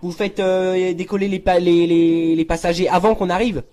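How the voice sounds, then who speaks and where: A man's voice: high-pitched, flat delivery, normal speed, darkish tone. A young man improvising a prank phone call, feigning seriousness in a hesitant but insistent way. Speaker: prank caller.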